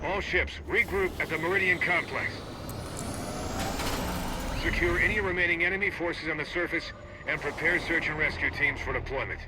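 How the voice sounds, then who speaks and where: An actor playing a rousing, dutiful fleet commander in a video game, urgent and commanding. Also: male voice, a little high, normal speed, heavy background noise, close to an everyday voice. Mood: triumphant command. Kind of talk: video game military acting.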